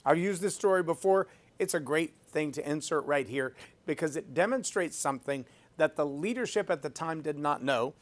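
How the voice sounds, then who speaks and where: A man hosting a leadership podcast, talking emphatically and repeating phrases for effect as he tells a personal story. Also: a little high. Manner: self-described loud voice. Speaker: podcast host.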